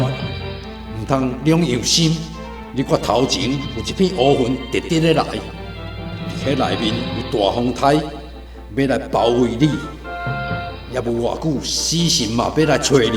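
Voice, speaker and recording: A man's voice: mid-range voice, lightly acted. A man with a Taiwanese accent playing a coaxing, commanding tempter and vice-street master in a radio drama. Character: tempting gambling-den tout and domineering dark master. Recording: roomy echo, music behind.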